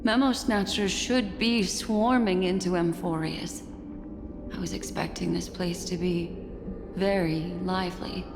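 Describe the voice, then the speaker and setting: A mysterious, curious seer who speaks in slow, measured phrases with a slightly low voice. Her lines ring with long reverb over game music. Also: steady tone, creaky. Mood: intrigued. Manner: slow, measured, intrigued musing.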